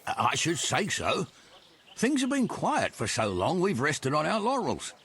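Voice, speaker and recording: An actor playing an earnest, worried medieval garrison soldier who speaks urgently and deferentially in a video game. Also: male voice, fairly high pitch, huge pitch swings, a little slow, a bit bright, heavily acted. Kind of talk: video game cutscene acting.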